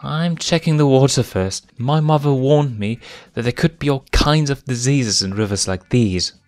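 A man's voice: normal speed, darkish tone, no room sound, natural voice. A man voicing a playful young female lion cub who teases and giggles in a raised voice. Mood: teasing. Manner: giggly, teasing, raised pitch.

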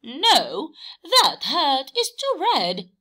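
A woman voicing an eager, choosy male horse in a children's storybook, with drawn-out, expressive delivery. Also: sits high, voice pushed up, full character voice, low-quality recording. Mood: refusing.

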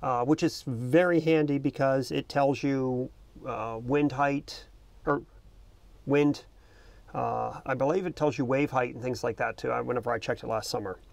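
A man's voice: medium pitch, steady tone, normal speed, gravelly, no echo. A middle-aged American man explaining casually and informally, as a vlog host recording outdoors on a small boat. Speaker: boating video host.

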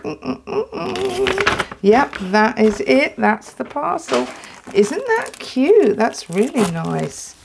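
A woman with an English accent, casually chatting while unboxing a stationery haul at a tabletop, excitable and full of ums and restarts, her throat dry. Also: sits high, takes it slowly, creaky, noisy background. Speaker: planner vlogger. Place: indoors at a tabletop.

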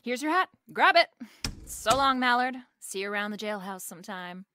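A woman's voice: mid-range voice, lively intonation, moderate pace, background noise, close to an everyday voice. An actress playing a wisecracking, flirtatious female private eye with a snappy, wry manner in a radio detective drama. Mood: brusque. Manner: snappy, wry, hard-boiled. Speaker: lead actress. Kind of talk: remake of 1940s radio detective drama, with narration.

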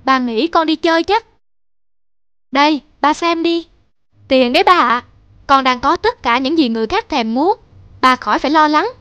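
A woman voicing a money-obsessed, dismissive young businessman, boastful and insistent, in an animated moral drama. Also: high voice, moderate pace, long pauses, no room sound, highs cut off. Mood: irritated.

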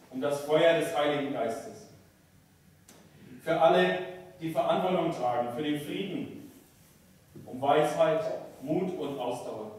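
A man speaking as a pastor leading a church service, formal and measured, phrase by phrase. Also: fairly high pitch, a little mellow. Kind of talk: church announcements and liturgical prayer. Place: large church interior, at lectern and altar. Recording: very echoey church, distant, faint noise.

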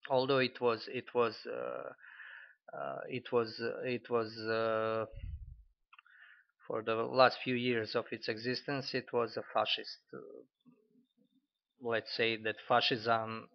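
A man in his forties from Croatia with a Croatian accent, critiquing an article in halting speech with many fillers and restarts. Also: mid-range voice, flat delivery, vocal fry. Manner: hesitant, frequent fillers and restarts. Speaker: commentator. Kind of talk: unscripted spoken critique. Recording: dull lo-fi audio.

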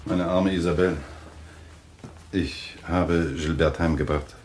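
A man playing a subdued, controlled husband in a German radio thriller, speaking in a measured way. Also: sits low, steady tone, a little mellow, a little hiss or hum. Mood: subdued, concerned.

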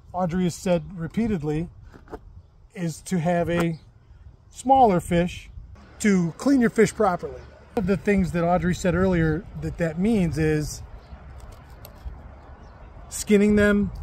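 A man with a fairly high but mellow, warm voice talks in a relaxed, rambling way, full of restarts and fillers. He hosts a fishing show outdoors by a lake and moves easily between chatting and showing how to clean a fish. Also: a little hiss or hum. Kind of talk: casual outdoor chat and demonstration. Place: lakeside outdoors.

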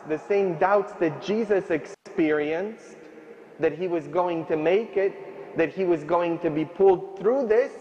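A man in his thirties preaching a sermon as a priest, slow and emphatic, in a large stone church at a stand microphone. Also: on the high side, a little mellow. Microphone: stand mic at ambo. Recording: echoey church, distant dull sound.

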